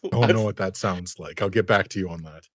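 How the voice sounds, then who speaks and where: A man running a tabletop game as dungeon master, halting and apologetic, laughing often. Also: deep voice, no echo, clean studio sound.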